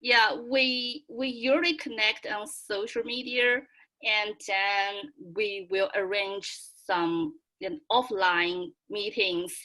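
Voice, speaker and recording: A young woman with a Chinese accent chats on a video call in a medium-pitched voice. Her English is halting, with searching restarts, and her manner is excitable and giggly. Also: rough recording. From China. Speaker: student and fan club member. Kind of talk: casual chat on a video call.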